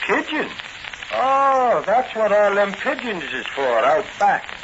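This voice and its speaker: An actor playing a good-natured, boastful, slow-witted cop, folksy and comic in 1940s radio serial style. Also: male voice, high-pitched, sing-song, heavily acted. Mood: puzzled. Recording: muffled, noisy old radio recording.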